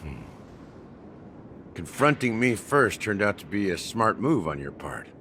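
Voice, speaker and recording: Low, expressive, world-weary male investigator. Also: measured pace, slight background noise. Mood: wry, calculating. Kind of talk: dramatic video game voice acting.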